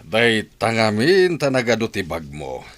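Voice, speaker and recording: A man's voice: on the low side, huge pitch swings, a little slow, a bit bright, dry recording, heavily acted. An actor with an Ilocano accent playing a teasing father in a radio comedy drama. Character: teasing father of the wedding couple.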